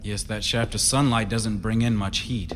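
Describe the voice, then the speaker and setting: A man's voice: fairly low pitch, fairly even intonation, moderate pace, natural voice. A man playing an easygoing, sceptical husband in a radio horror play, breezy and dismissive of spooky talk. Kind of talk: radio horror drama acting. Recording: reverberant, noisy radio drama recording.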